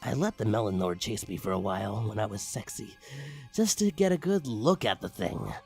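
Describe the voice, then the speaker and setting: A man's voice: fairly low pitch, animated, moderate pace, gravelly, a little mellow, soft background music. A man giving a comedic, mock-dramatic creepypasta narration with crude, swooping emphasis. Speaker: narrator, online story reader.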